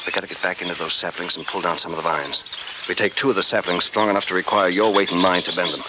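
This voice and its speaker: An actor playing a tough, resourceful adventurer hero in clipped 1940s radio style. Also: male voice, sits low, steady tone, fast talker, lightly acted. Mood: cool, determined. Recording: very noisy old 1940s radio recording.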